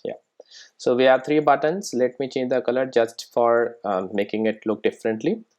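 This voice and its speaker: A software tutorial presenter explaining things step by step in an informal way, often checking in with the listener. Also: medium pitch, steady tone, moderate pace.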